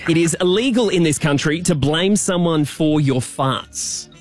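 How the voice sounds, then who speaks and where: A man co-hosting a radio show and running a quiz, with brisk, upbeat patter. His voice sits a little high for a man. Faint music plays behind him.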